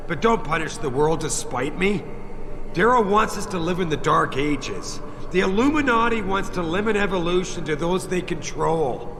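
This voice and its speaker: An actor playing an older visionary who argues in an earnest, paternal, persuasive way. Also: male voice, high voice, lively intonation, moderate pace, background noise. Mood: earnest persuasion.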